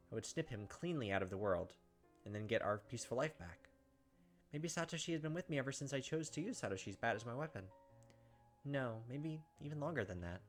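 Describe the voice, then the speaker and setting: Casting: male gameplay host with a mid-range voice, reads story narration and chats casually over soft game music. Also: mid-range voice. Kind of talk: reading visual novel narration aloud, casual commentary.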